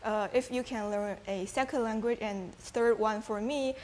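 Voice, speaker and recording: A woman's voice: on the high side, normal speed, a little hiss or hum. Young Chinese woman, casual student guest. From China. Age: young adult. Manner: relaxed, uses filler words. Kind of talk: casual talk show answers.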